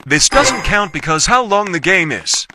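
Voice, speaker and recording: A man's voice: high-pitched, big pitch swings, measured pace, background noise. A man giving casual gameplay commentary in short, repetitive declarations. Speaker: gaming video creator.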